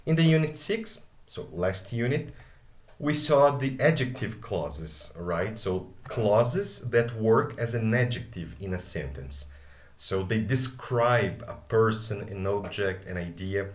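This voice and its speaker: Brazilian male English teacher, lowish voice, patient explainer, online class with roomy echo. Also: lively intonation, takes it slowly. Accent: Brazilian Portuguese. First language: Portuguese. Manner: patient, explaining, often checks in with "okay?". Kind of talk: live online grammar class. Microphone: video call.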